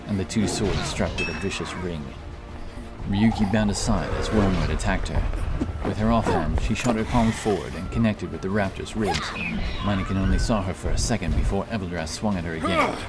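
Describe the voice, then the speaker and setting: A man narrating a fantasy story in a steady, scripted style. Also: low-pitched, fairly quick. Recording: narration over loud music.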